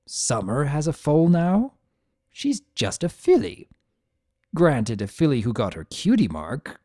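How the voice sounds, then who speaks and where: Trapped chaos spirit, read by a performer: mellow, wry, theatrical first-person narrator, animated melody. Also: mid-range voice, unhurried, no echo, studio quality, voice pushed up. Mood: bewildered, musing. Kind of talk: first-person dramatic storytelling.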